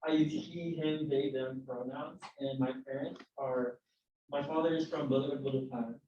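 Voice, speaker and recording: A man's voice: medium pitch, fairly even intonation, moderate pace, a little hiss or hum. A young man, a university student, introducing himself casually and sharing thoughts with many fillers. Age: young adult. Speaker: student participant. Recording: distant, dull, roomy mic.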